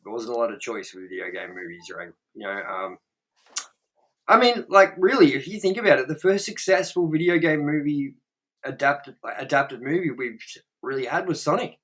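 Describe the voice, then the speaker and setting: A young man co-hosts a film review chat with a blunt, animated delivery full of swearing. His voice sits at an ordinary middle pitch. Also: moderate pace. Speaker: co-host.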